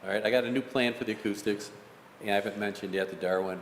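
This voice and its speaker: Loud rambling man in an echoey hall. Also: lowish voice, steady tone, fast talker, a little hiss or hum. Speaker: planning commissioner. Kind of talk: casual meeting remarks. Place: council chamber, echoey room. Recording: echoey hall from a room mic.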